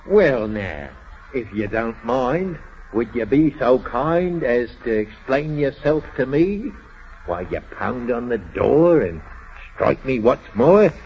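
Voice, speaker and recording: A fussy quaint old fellow. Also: male voice, mid-range voice, animated, slow. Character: fussy old-fashioned little man disturbed at tea. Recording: dull old radio recording with faint hiss.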